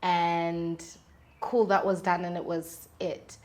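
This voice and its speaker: A woman's voice: on the low side, slow pace. A young woman with a South African accent, chatting casually and expressively as an interview guest about her own life. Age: young adult. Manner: expressive, animated storytelling. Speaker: interview guest, singer-songwriter.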